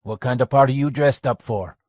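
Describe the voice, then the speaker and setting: A man's voice: medium pitch, a little fast, a little mellow, dry recording, voice pushed up, lightly acted. A sly, menacing street hustler , played by a man reading an audiobook, needles his listener in a loose, casual tone. The recording is dry and close.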